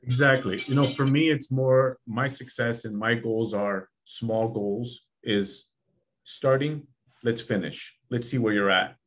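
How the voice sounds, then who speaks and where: A man's voice: mid-range voice, unhurried, fairly bright tone. A man in his forties hosting a casual podcast chat, earnest and repeating himself for emphasis. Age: 40s. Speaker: podcast host. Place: small office room, video call.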